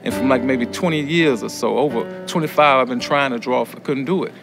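A man's voice: mid-range voice, a little fast, creaky. A middle-aged man with an American accent talks candidly in an interview as a student. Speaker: prison education student. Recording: guitar music under the voice. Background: acoustic guitar music.

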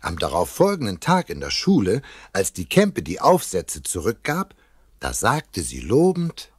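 An actor playing a chatty narrator who tells a children's story and addresses the listeners. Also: male voice, low voice, wildly swooping pitch, measured pace, slightly rough, no echo, clean studio sound, full character voice.

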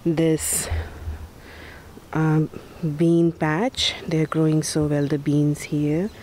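Low, slow-talking woman touring her garden. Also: slight background noise. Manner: relaxed, unhurried, with fillers and soft asides. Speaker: home gardener giving a garden tour. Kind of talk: casual garden tour narration. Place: outdoor backyard vegetable garden.

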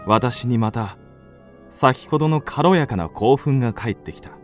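A man's voice: medium pitch, animated, a little slow, faint music behind, lightly acted. An actor playing a moody, melancholic young man with restless fancies, narrating a literary radio drama in the first person. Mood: rising excitement.

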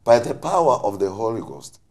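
A man's voice: mid-range voice, big pitch swings, fairly quick, darkish tone. A man, a bishop on a panel, explaining emphatically in short, preacherly phrases. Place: TV studio. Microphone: lapel mic.

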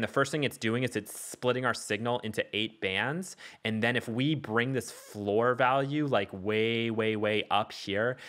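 Guy doing a casual music software tutorial, bright punchy voice kinda low, keeps checking you follow. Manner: explanatory, checks in with "okay" and "all right".

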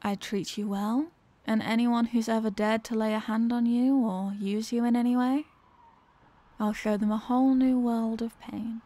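A woman's voice: a little high, steady tone, normal speed, dark tone, no room sound, close to an everyday voice. A woman voicing a dominant, mocking female villain in an ASMR roleplay, with a condescending, teasing, theatrical manner. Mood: seductive, coaxing.

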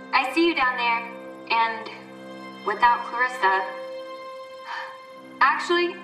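An American woman playing a flustered, self-conscious teenage girl speaking over a loudspeaker in a video game. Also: fairly high pitch. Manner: hesitant, self-deprecating. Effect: loudspeaker, public address. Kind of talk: naturalistic video game dialogue. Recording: echoing, distant outdoor loudspeaker announcement.

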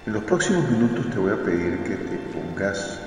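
A man guiding a meditation in a soothing, deliberate way, gently repeating phrases. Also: on the low side, sing-song. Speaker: meditation guide, video host. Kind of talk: slow guided meditation narration. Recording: cavernous long reverb over music. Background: music.

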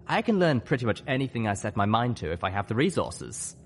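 A man's voice: medium pitch, very animated, brisk, slight background noise. A man voicing a friendly young male pony healer in a storybook, modest and cheerful. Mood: bashful.

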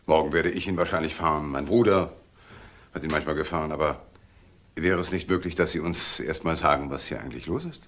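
Impatient businessman: extremely low voice, fast talker, protesting, radio drama. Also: fairly bright tone, slight background noise. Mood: guarded, impatient. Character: impatient, self-assured businessman, son of company boss. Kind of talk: crime radio drama acting.

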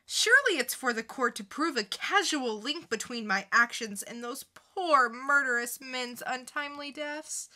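A woman as a sardonic, high-voiced young defendant. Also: bright, forceful tone, heavily acted. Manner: sardonic, mock-polite, theatrical. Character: defiant, smug young goddess on trial. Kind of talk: comic book dramatic reading.

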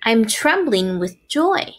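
A woman's voice: on the high side, very animated, slow, pitched above the performer's own voice, exaggerated voice. Woman voicing an earnest, lilting young boy pig. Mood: trembling joy. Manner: earnest, emotional, polite. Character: earnest, sentimental young male pig, anxious for friends. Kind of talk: storybook character voice.

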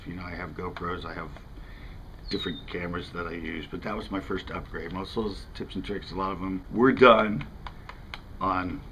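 Fishing vlog host: American male, fifties, lower register, casual and rambling, some outdoor background noise. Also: sharp tone. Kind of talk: casual talk to camera. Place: outdoors in front of a house window.

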